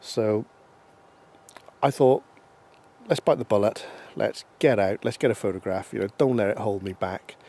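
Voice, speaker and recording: A fairly low-voiced man vlogging in the open air of a mountain valley, his nose blocked up from hay fever. He rambles in a relaxed, chatty way, full of ums and little restarts. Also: moderate pace, pauses often. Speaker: landscape photographer. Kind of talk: casual outdoor vlog.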